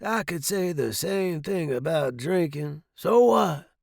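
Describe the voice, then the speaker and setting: A man's voice: fairly high pitch, animated, takes it slowly, creaky, no echo, clean studio sound, pitched above the performer's own voice, full character voice. A man voicing a brash, boozy, cantankerous old street performer with slangy, blustering speech, in a story reading. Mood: gruff, challenging. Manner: slangy, blustering, loud outbursts.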